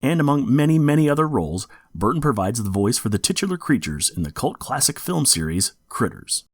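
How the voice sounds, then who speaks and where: A man's voice: medium pitch, sing-song, fairly quick, slightly rough, no room sound, studio quality. A man hosting a podcast, reading informative scripted background in a geeky manner. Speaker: podcast host.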